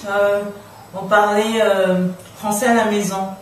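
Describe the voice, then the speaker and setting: A middle-aged woman from the Democratic Republic of the Congo telling personal stories casually as an interview guest, in an animated way. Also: medium pitch, slow pace. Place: small radio studio room, indoors. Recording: very echoey room, distant, faint noise.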